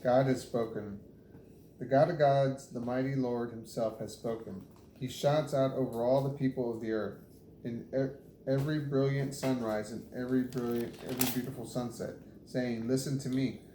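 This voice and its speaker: A man's voice: mid-range voice, steady tone, moderate pace, warm tone. A man reading scripture aloud. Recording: echoey room, faint background noise.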